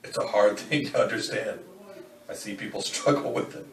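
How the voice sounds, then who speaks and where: A man playing a calm, menacing killer in a dramatic novel reading, speaking in measured, formal phrases with cold fatalism. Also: on the low side, gravelly. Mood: calm, philosophical. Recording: roomy, distant, faint background noise.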